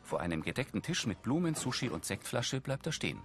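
A man's voice: mid-range voice, very animated, brisk, background noise. A man reading scripted scene narration as an audio describer for a television crime series.

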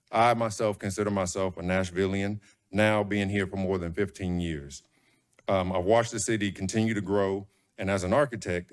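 Middle-aged man speaking at a podium. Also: low voice. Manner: earnest, supportive, frequent ums. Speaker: public commenter. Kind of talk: prepared public comment. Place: large public meeting hall. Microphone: podium microphone.

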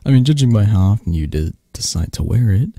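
Man playing a low, mellow, flirty mafia boss lover. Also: slightly rough, no room sound, clean studio sound. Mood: affectionate, flirty. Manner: soft, intimate, halting, chuckling, teasing. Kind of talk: ASMR romance roleplay.

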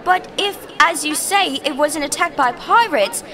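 An actress playing a persistent, probing reporter in a science fiction audio drama, articulate and pressing. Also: female voice, sits high, animated, normal speed, bright, forceful tone. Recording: crowd murmur of a press room.

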